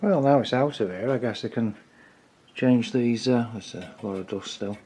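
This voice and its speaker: A man's voice: medium pitch, normal speed, dark tone, slight background noise. A man giving casual hands-on repair commentary, informally thinking aloud in a tentative way as he works. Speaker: hobbyist repairer presenting own video.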